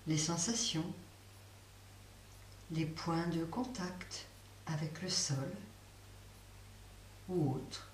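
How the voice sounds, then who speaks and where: Woman yoga teacher, low-ish voice, very slow guided relaxation, distant roomy recording with faint hiss. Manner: slow, soft, pausing guidance.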